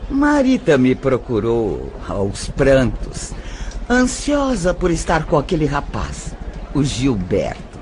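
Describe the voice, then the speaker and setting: A man playing a mature madam in an audio drama, a confiding informant with a deliberate delivery. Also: mid-range voice, wildly swooping pitch, a little mellow, a little hiss or hum, voice pushed up. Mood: confiding, sly.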